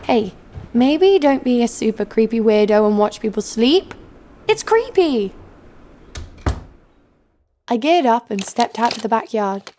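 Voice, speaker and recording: A woman's voice: fairly high pitch, animated, measured pace, pauses often, warm tone, slight background noise. A woman playing a hot-tempered young female fighter in an audio drama, blunt and sarcastic. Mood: defiant, irritated. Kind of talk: first-person audio drama narration and dialogue.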